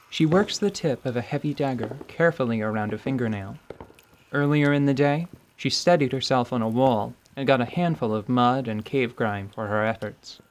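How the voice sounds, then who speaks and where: A man's voice: mid-range voice, a little hiss or hum. A man reading scripted narration for a tabletop fantasy audio drama.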